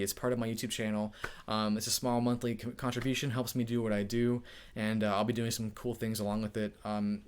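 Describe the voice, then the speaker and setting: A man hosting a casual live-stream Q&A, upbeat and chatty, laughing often, feeling hot. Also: lowish voice. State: overheated. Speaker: tech YouTuber hosting a live Q&A.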